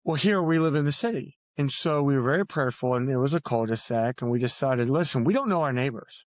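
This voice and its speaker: A man's voice: mid-range voice, lively intonation, brisk, no room sound. Guy being interviewed as an author, mid-range voice, very dry recording, thoughtful and emphatic, tells stories. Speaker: guest author. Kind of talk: earnest conversational interview answers.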